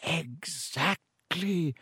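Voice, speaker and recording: Wise old wizard headmaster, soft and slow, raised voice, dry close sound, man doing it. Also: on the high side, very animated, no echo, pitched above the performer's own voice, exaggerated voice. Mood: calm, wise. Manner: slow, gentle, measured speech. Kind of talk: audiobook character voice.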